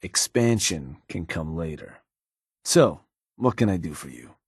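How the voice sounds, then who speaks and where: A cynical gang advisor from a video game, speaks in a very deep, husky voice. He is laid-back and slangy, with sly, folksy menace as he addresses his boss. The sound is close and dry. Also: big pitch swings, measured pace, dry recording, heavily acted. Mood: casual, menacing. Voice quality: husky, low. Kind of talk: video game character dialogue.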